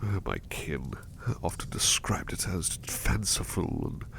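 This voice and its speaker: Guy doing a poorly butler in bed, low gravelly whispers, up and down a lot, super polite and hesitant. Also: very animated, a little slow, heavily acted. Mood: gentle, grateful. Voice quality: soft and whispery. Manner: courteous and formal, hesitant restarts. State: unwell, resting in bed. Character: sickly polite young male butler. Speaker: voice actor. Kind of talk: ASMR roleplay.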